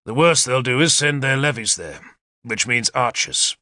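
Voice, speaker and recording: A man's voice: medium pitch, moderate pace, bright, forceful tone, dry recording, studio quality, pitched above the performer's own voice, lightly acted. A man voicing a confident male war leader who eagerly lays out battle plans, in a decisive, commanding manner. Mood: calm. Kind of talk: audiobook character reading.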